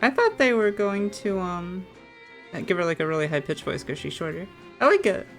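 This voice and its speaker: A woman's voice: on the low side, big pitch swings, moderate pace. A woman streamer giving casual, giggly, exclamatory reactions while playing a video game. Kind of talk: casual gameplay commentary and reactions. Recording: faint game music behind.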